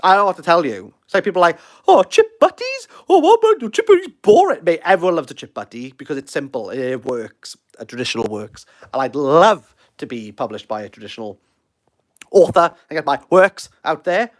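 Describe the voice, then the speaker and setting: A man's voice: on the high side, big pitch swings, brisk. A Welsh man in his thirties hosting a casual livestream, rambling and self-deprecating as he answers viewer comments. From Wales. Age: 30s. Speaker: livestream host.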